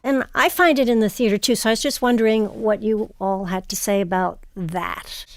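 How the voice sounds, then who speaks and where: A woman hosting an interview, putting prepared questions in a measured, polished way. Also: medium pitch, no room sound. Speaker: interview host.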